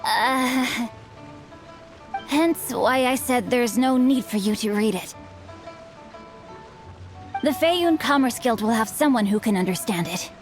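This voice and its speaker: A woman voicing a bookish, chivalrous young gentleman swordsman in a video game, composed, courteous and flowery in speech. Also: a little high, takes it slowly, long pauses, faint music behind. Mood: flustered. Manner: flowery, formal, courteous, evasive.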